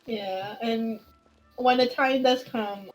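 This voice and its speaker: A woman streamer chatting casually and haltingly as she reacts to a game. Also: fairly high pitch, fairly even intonation, rough recording. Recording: game music behind the voice.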